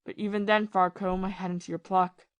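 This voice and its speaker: A woman acts as a ruined gentleman and former army captain in a period audio drama. He confesses his lovesick misery with bitterness and passion, halting and repeating himself. The pitch is moderate for the performer and the sound is very dry. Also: little pitch movement, no echo, lightly acted. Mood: anguished.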